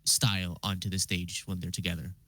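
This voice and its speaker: A man with a lowish voice chats as a podcast panelist. His delivery is informal and slightly halting, full of "like", and sounds dry and close. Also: flat delivery, fairly bright tone, no room sound. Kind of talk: casual chat.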